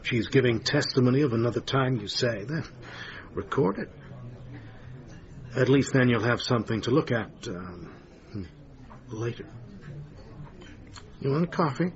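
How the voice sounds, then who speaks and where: A man's voice: medium pitch, animated, measured pace, long pauses, lo-fi sound. A man in a radio drama playing a sardonic, sceptical theatre manager who needles his lover in a dry, argumentative way. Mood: dismissive. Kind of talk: naturalistic radio drama acting.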